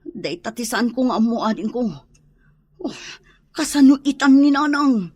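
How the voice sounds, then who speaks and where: An actress playing a concerned, protective elder-sister figure in a Filipino radio drama. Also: female voice, on the high side, animated, measured pace, darkish tone, slightly rough, no room sound. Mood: concerned, cautioning.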